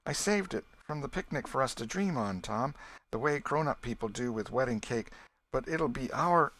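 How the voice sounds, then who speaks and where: A man's voice: mid-range voice, lively intonation, normal speed, a bit bright, voice pushed up, rough recording. A man reading an audiobook, voicing a frightened, weary, sorrowful young girl with a plaintive, faltering delivery. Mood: weary, wistful. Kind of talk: audiobook character voice.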